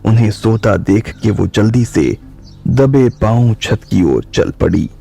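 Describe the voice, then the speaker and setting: A man narrating an audio drama in calm, measured storytelling. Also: lowish voice, vocal fry. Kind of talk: slow scripted audiobook narration. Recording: soft music bed.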